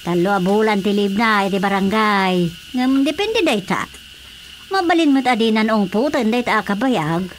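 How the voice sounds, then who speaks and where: A woman's voice: mid-range voice, animated, moderate pace, lightly acted. A woman playing a stern, admonishing aunt in an Ilocano radio comedy drama, with an Ilocano accent and a matter-of-fact manner. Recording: faint background noise.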